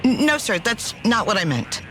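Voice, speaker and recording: A woman playing a brisk, sardonic army officer in a video game, with crisp, dryly sarcastic military delivery. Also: mid-range voice, very animated, fairly bright tone, poor audio. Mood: defensive, irked. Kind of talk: scripted video game mission dialogue. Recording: music behind the voice.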